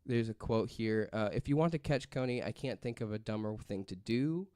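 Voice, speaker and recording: A man's voice: on the low side, moderate pace, a little mellow, clear, resonant tone, dry recording, clean studio sound. A man presenting a story on a casual podcast, reading from notes and rambling through asides peppered with "like".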